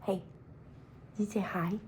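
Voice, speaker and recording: Hoarse bubbly young woman vlogger. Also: medium pitch, fairly even intonation, slow, a little mellow, a little hiss or hum. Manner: bubbly, rambling, lots of fillers. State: hoarse, recovering from illness. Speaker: beauty vlogger. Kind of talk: casual chatty vlog. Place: at home, sitting on the floor. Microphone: phone camera.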